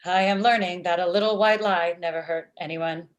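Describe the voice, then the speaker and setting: A woman's voice: lowish voice, steady tone, normal speed, close to an everyday voice. A woman voicing an earnest, literal-minded robot with stilted formal phrasing in a comedy table read over a video call. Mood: joking. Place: small room at home, video call. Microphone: video call computer microphone.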